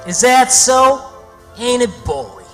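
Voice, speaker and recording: Man acting a bright, high-voiced, bossy Southern boy. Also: sing-song, slow pace, soft background music, full character voice. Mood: excited, scheming. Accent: rural American South. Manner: emphatic, know-it-all explaining. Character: cocky, scheming boy adventurer, bossy and stubborn. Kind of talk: radio theatre acting. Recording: long reverberant hall sound.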